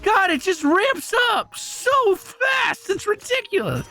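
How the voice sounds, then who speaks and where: A man's voice: very high-pitched, sing-song, slow pace, gravelly, pushed and bright, soft background music. A man giving casual running commentary as he plays a video game, talking to himself with exasperated exclamations and swearing. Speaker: gaming video creator.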